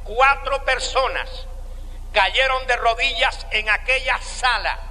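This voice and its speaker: A man with a Cuban accent giving a slow, deliberate sermon testimony with dramatic pauses. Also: extremely high pitch, pushed and bright, big-room sound, low-quality recording. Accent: Cuban Spanish. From Cuba.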